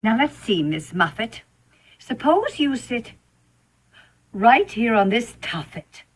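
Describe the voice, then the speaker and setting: A woman playing a kindly, reassuring nursemaid in a children's storybook play, gently instructing and indulgent. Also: a little high, sing-song, slow pace, slightly rough, exaggerated voice. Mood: kindly, instructive.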